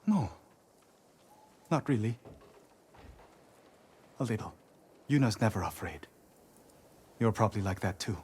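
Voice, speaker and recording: A man's voice: fairly low pitch, lively intonation, unhurried, long pauses, breathy edge, full character voice. Earnest, nervous young man. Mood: timid, vulnerable. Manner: hesitant, soft-spoken, deferential. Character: earnest, nervous young blacksmith, gentle and eager to help. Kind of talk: dramatic video game acting.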